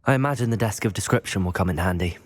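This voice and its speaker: A man's voice: lowish voice, very fast, natural voice. A man playing a curious young student wizard in a video game, inquisitive and earnest. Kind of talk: video game character acting. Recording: long reverberant hall.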